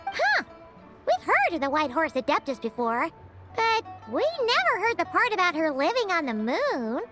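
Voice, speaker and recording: Squeaky lil game fairy, crazy high, excitable, questions everything, music in back. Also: female voice, normal speed, heavily acted. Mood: surprised, curious. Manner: exclamatory, wide-eyed questioning. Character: tiny excitable female fairy companion, childlike and easily alarmed. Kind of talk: video game character dialogue.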